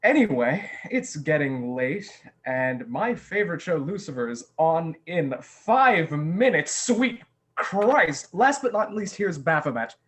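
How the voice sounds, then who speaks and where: Woman as a weary devil host, very low voice, slow theatrical drawl, widely swooping intonation. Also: big pitch swings, exaggerated voice. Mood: dry, weary. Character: drawling, weary devil presiding over demons as emcee. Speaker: master of ceremonies. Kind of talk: theatrical monologue show.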